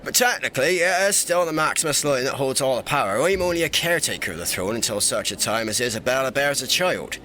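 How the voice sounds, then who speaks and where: Male actor as irreverent young emperor, bright lively voice, theatrical teasing, fantasy audio drama. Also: medium pitch, quick pace, few pauses, a little hiss or hum. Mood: breezy explaining. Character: young playful irreverent male emperor, secretly informal and mischievous.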